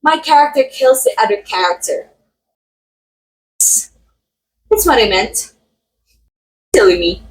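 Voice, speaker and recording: A woman's voice: a little high, expressive, takes it slowly, pauses often. A woman playing a vain, murderous method actress in an audio roleplay, coy, theatrical and condescending, speaking straight to the listener. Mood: coy, imperious. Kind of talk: ASMR roleplay acting, addressing the listener.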